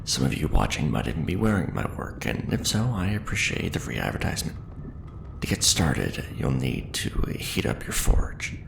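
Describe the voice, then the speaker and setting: A man playing a seasoned, patient and wry craftsman teacher in an ASMR roleplay, speaking in a measured, hushed way with dry humor. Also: rumbling low, very animated, gravelly, roomy sound, noisy background, heavily acted. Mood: instructive. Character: seasoned male sci-fi fantasy mask-forging craftsman teacher, patient, wry. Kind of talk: ASMR roleplay, instructional monologue.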